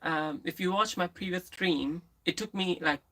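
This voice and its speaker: A woman's voice: lowish voice, moderate pace, a bit bright, poor audio. Role: live streamer. Brief: a woman with a lisp, candid and rambling, who restarts her sentences. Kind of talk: casual live stream chat, answering viewers.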